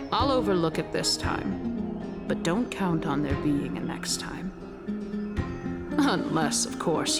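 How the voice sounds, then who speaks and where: Creaky-voiced, imperious noblewoman. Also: on the low side, full character voice. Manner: imperious, measured, threatening. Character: stern, haughty noblewoman elder with veiled menace. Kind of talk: video game voice acting. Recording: loud music under the voice.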